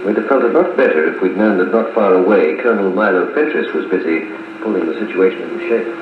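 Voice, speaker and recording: An actor playing a wounded, stoic army major with clipped, wry, understated speech in a 1950s radio serial. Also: male voice, low voice, fast talker, phone-quality sound, lightly acted. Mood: grim narrating. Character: wounded British army major, stoic, wryly good-humoured. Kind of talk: 1950s radio drama acting. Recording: 1950s wire recording, thin, echoey, noisy.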